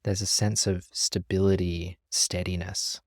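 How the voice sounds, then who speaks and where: A meditation teacher leading a guided practice in a gentle, calm, measured way with long pauses. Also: low voice, no room sound, clean studio sound.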